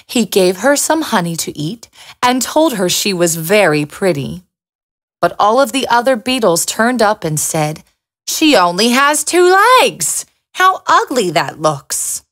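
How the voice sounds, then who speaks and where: A woman storyteller reading a scripted fairy tale for children. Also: medium pitch.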